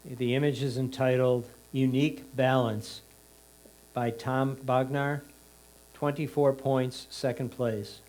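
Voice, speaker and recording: A man with a middling pitch works as score announcer. He reads out titles, names and points in a plain, formulaic pattern. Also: little pitch movement, takes it slowly, darkish tone, low-quality recording.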